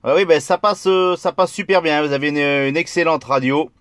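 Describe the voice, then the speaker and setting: A man's voice: on the high side, normal speed, a bit bright, no room sound, studio quality. A man making a casual radio contact as a CB operator, filming his own video.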